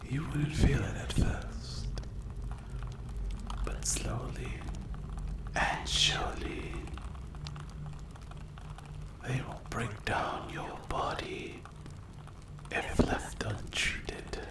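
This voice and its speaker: A breathy, whispered demon voice, put on by an ASMR performer, menacing and sly. It draws its words out extremely slowly, taunting the listener with soft questions. The whisper hangs in a roomy echo, like dark fantasy roleplay. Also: medium pitch. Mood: gloating, sinister. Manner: slow, drawn-out, taunting delivery with rhetorical questions. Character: menacing sleep paralysis demon, sinister and taunting.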